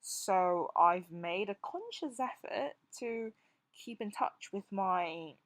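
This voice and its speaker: A woman in her twenties from Singapore, with a Singaporean English accent softened by years in the UK, talking in an impromptu vlog with frequent restarts and stammers while recovering from jaw surgery. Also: mid-range voice, lively intonation, slow pace. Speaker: vlogger.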